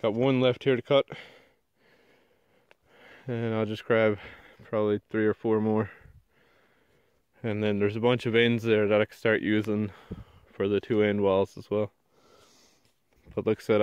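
A man's voice: on the low side, moderate pace, long pauses, clean tone, dry recording, highs cut off. A young man, chatting casually to the camera outdoors in a forest while building a shelter and explaining each step. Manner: relaxed, chatty, explains as he works. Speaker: bushcraft vlogger. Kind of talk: casual narration while working. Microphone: handheld or mounted action camera.

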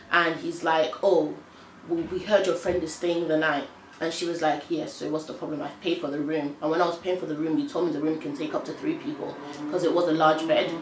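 A young woman with an English accent talks frankly to camera in an animated, exasperated way. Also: lowish voice, echoey room, a little hiss or hum, low-quality recording. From United Kingdom. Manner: animated, frank, exasperated storytelling with hand gestures. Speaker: vlogger. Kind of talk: casual chat to camera. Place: small indoor room, on a sofa.

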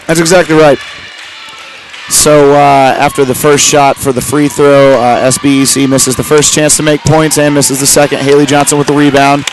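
A man with a loud voice giving halting, amateur live play-by-play of a basketball game. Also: fairly high pitch, flat delivery. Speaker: play-by-play sports commentator. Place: large indoor school gymnasium during a game. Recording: noisy gym crowd and bouncing ball. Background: crowd noise, basketball bounces.

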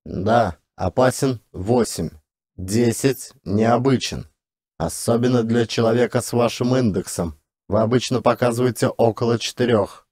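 A man's voice: medium pitch, measured pace, darkish tone, slightly rough, no echo, clean studio sound. Man voicing a fussy, clinical robot examiner. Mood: matter-of-fact. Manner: clinical, precise, grumbling. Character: fussy, querulous robot psycho-tester machine.

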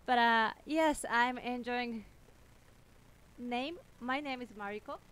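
A young woman with a Japanese accent speaks slowly and hesitantly to her stream viewers, filling pauses with ums and giggles. Her voice is smooth and clear. She sits in a home music room, talking into a studio mic. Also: on the high side, a bit bright. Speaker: cellist streaming with a co-host. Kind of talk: casual livestream chat. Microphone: headphones on, studio mic on a stand.

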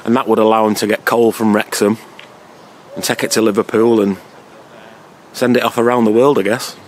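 Chatty man with a cold, deep voice. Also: level tone, a little fast, slight background noise. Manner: chatty, wry. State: has a cold, deeper voice than usual. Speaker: cycling vlogger. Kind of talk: casual travel vlog to camera. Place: outdoors at a railway station and canal. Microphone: camera close up at arm's length.

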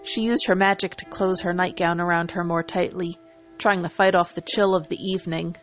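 A woman's voice: fairly low pitch, steady tone, normal speed. Woman narrator reading a story over music.

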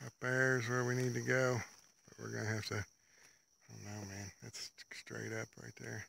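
A man with a gravelly, creaky voice of middle pitch talks as he walks a forest trail. His folksy, rambling commentary comes through a handheld camera outdoors, with faint background noise. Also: level tone, moderate pace, pushed and bright. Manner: laid-back, rambling, folksy talk. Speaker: vlogger. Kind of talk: casual hiking commentary. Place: wooded ravine outdoors. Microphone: handheld camera while walking.

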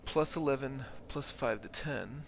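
A man's voice: medium pitch, a little slow, creaky, slight background noise. A man giving casual, methodical gameplay commentary, thinking aloud and reading out stats. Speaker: gaming video host. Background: game ambience.

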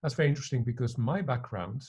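Middle aged guy, greek native speaker, prof being interviewed, relaxed slightly raspy voice, talks reflectively. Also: medium pitch, quick pace. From Greece. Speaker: university professor being interviewed. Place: attic living room at home.

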